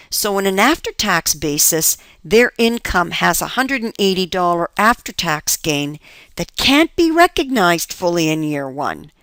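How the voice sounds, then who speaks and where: A woman's voice: on the low side, fairly bright tone, no echo. A woman teaching as an accounting instructor, explaining methodically step by step and often posing questions that she then answers herself. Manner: step-by-step, asks and answers her own questions. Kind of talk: explanatory lecture for an instructional video.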